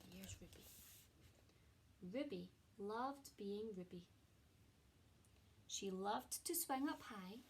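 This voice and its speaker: A woman with a medium-pitched voice reads a picture book aloud for children. Her delivery is expressive storytelling, pitched at young listeners as a teacher would. There is some light background noise in the recording. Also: takes it slowly, long pauses.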